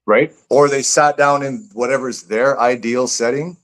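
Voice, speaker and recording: A man's voice: mid-range voice, steady tone, measured pace. A man speaking as a guest author in a casual live interview, reflective and rambling, repeating phrases as he thinks aloud.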